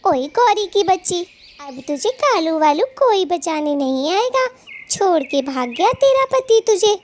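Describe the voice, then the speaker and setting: A woman voicing a caring but feisty little female bird in a children's cartoon, earnest and expressive. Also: very high-pitched, unhurried, slight background noise, full character voice. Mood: scolding. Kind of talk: children's cartoon story acting.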